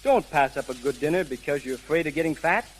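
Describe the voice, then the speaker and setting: A man's voice: on the high side, lively intonation, fast. A man giving scripted advice as a fitness trainer in 1930s radio style. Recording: noisy crackling 1930s radio recording.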